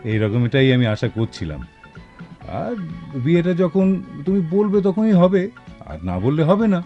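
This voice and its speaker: An actor playing an ailing, wealthy old father in a Bengali radio drama, indulgent yet insistent, speaking with measured pauses. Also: a little high, sing-song, dark tone, faint music behind. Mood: genial, pleased. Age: older. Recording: dull lo-fi radio drama recording.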